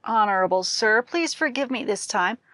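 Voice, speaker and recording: A woman's voice: on the high side, expressive, normal speed, fairly bright tone, no room sound. A woman voicing a cowardly young male scholar in a folktale, humble and imploring. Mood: pleading.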